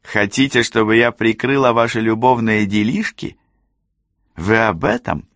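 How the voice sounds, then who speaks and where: A man's voice: on the low side, expressive, moderate pace, gravelly, fairly bright tone, no echo, studio quality, pitched above the performer's own voice. A man voicing a cold, haughty young woman who talks down to people with smug, imperious disdain. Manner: condescending, imperious.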